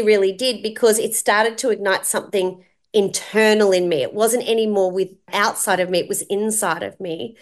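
A woman in her fifties with an Australian accent, a guest telling her life story warmly and earnestly. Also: medium pitch. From Melbourne, Australia. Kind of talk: interview, personal story.

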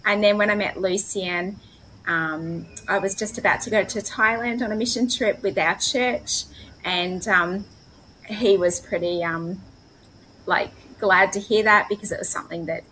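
A woman's voice: lowish voice, normal speed, pushed and bright, low-quality recording. An Australian woman, a missionary guest, casually telling her own story in an interview. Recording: clear background noise.

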